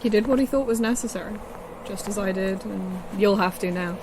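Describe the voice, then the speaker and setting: A woman's voice: mid-range voice, brisk, close to an everyday voice. A woman playing a weary, pragmatic staff member in a sci-fi horror audio drama, subdued and matter-of-fact. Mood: regretful. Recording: loud vehicle and ambient noise.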